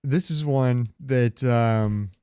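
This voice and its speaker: A male podcast host in casual, joking gaming chat. His voice is clean and smooth at a medium pitch, recorded dry with no room sound. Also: drawn-out words, mellow, dry recording.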